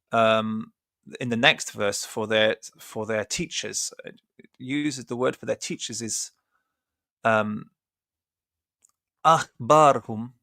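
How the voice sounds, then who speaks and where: A man's voice: medium pitch, gravelly, bright, forceful tone, no room sound. A man hosting a religious podcast in a studio, chatting in a rambling, self-correcting way, with a slight cough. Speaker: podcast host. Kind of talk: casual religious podcast talk. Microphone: studio mic with cough button.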